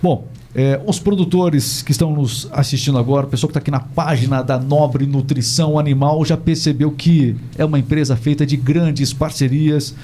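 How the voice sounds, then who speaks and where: A man's voice: a little high, steady tone, moderate pace, dark tone. A man hosting a studio podcast interview, chatty and full of tag questions. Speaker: podcast host. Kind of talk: casual interview chat.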